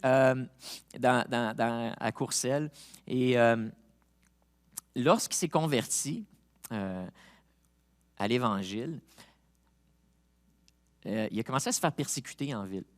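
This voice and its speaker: A man with a Québécois accent, a pastor preaching a sermon who moves from quiet reflection to loud, rising appeals, with chatty personal asides. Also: mid-range voice, slow pace, pauses often. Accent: Québécois French. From Quebec. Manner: chatty asides with fillers and laughs.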